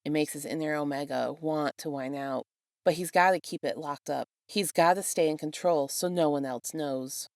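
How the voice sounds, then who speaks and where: A woman's voice: low-pitched, moderate pace, a little mellow, no room sound, clean studio sound. A woman reading fan fiction aloud as a scripted narrator. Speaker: podfic reader.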